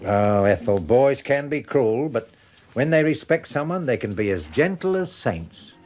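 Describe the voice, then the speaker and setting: A man's voice: medium pitch, lively intonation, unhurried, natural voice. A middle-aged man with a London accent acting a kindly, down-to-earth father in a 1950s British radio comedy. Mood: gentle, serious. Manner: kindly, chuckling banter. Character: genial, down-to-earth London working-class father, gently joking.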